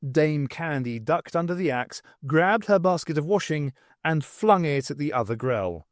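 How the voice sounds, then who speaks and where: A man reading a story aloud as an audiobook narrator, in an expressive scripted style. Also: a little high, normal speed, no echo, studio quality.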